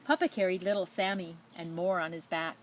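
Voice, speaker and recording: A woman reading a children's picture book aloud in a measured, expressive storytelling manner, with spoken asides. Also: on the low side, a little hiss or hum. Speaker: storybook reader.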